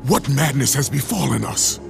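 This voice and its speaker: An actor playing a grim, weary warrior with a gruff, gravelly, defiant voice, in a game cutscene. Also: male voice, medium pitch, expressive, normal speed, music in the background. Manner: gruff and defiant, shouting at times.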